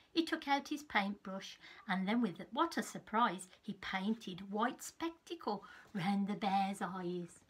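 Slightly husky woman reading to children. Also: medium pitch. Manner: measured, expressive storytelling for small children. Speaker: teacher reading a storybook to young children. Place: small furnished room at home, indoors.

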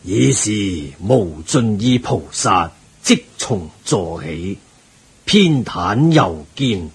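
Learned old-school monk in a radio play, slow solemn delivery, really husky. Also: male voice, on the low side, big pitch swings, heavily acted. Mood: solemn recitation. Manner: measured, earnest, deliberate. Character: solemn, devout, learned male Buddhist monk. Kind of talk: Cantonese radio drama acting.

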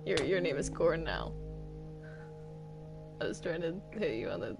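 Low, slow, gravelly young-sounding woman gamer. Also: sing-song. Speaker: gaming video creator playing with friends. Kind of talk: casual roleplay game chat. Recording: loud music behind the voice. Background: music.

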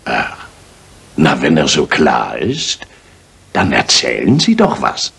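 An actor playing a defiant, sneering defrocked priest with a raspy, gravelly voice in a radio play. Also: male voice, extremely low pitch, huge pitch swings, slow, fairly bright tone, heavily acted. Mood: belligerent. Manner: sneering, argumentative. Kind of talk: German radio play acting.

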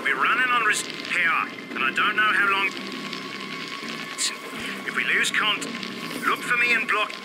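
An actor playing an anxious, urgent insider warning survivors in a video game, speaking bluntly. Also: male voice, high-pitched, lively intonation, unhurried, pauses often, background noise. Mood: urgent, wary. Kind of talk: video game cutscene acting.

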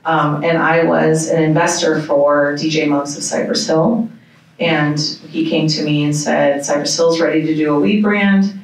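A woman in her forties, a company executive on a panel, speaking in a steady, businesslike way into a handheld mic. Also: sits low, unhurried. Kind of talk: panel self-introduction. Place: conference room panel. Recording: very echoey room, distant pickup.

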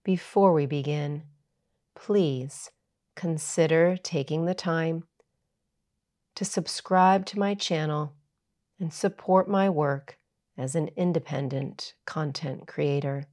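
A woman yoga teacher narrating a guided meditation in a soothing, measured, unhurried way. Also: sits low, darkish tone.

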